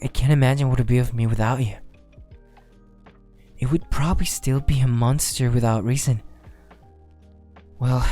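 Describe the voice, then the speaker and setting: A shy young werewolf boyfriend, acted by a man, speaks softly in a mellow, relaxed voice. He takes his time, hesitant and affectionate, in an intimate ASMR roleplay with music playing behind him. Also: medium pitch, natural voice. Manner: hesitant, affectionate, soft-spoken, often chuckling. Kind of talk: soft romantic ASMR roleplay.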